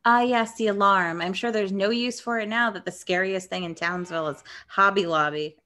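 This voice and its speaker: A woman's voice: medium pitch, normal speed, bright, forceful tone. Woman, wry, joking, reading a comedy script aloud, co-host. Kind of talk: script read-through with banter.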